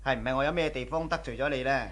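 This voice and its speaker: An actor playing a devoted, solicitous young husband in a radio drama, tender in manner. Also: male voice, mid-range voice, very fast, a bit bright, natural voice. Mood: tender, concerned. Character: devoted, doting young husband and shop owner. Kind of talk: Cantonese radio drama acting.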